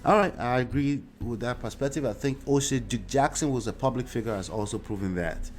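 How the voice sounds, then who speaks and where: A man's voice: medium pitch, fairly quick, slight background noise. A Nigerian man whose first language is Yoruba hosts a livestream and talks casually in a Nigerian accent, often using "uh" and "right?". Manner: conversational, with frequent "uh" and tag questions like "right?". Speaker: show host. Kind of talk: livestream commentary reading viewer comments.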